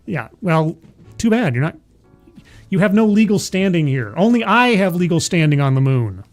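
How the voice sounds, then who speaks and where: Chatty man in his forties, slightly high. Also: big pitch swings, moderate pace, faint music behind. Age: 40s. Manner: rambling, self-deprecating, laughs often. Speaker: livestream host. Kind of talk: casual chat with live chat.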